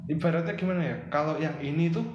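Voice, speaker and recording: Joking young man, slightly high voice. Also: fast, roomy sound, dull audio, noisy background. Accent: Javanese-tinged Indonesian. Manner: joking, rambling with many restarts. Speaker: podcast co-host. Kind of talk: casual chat.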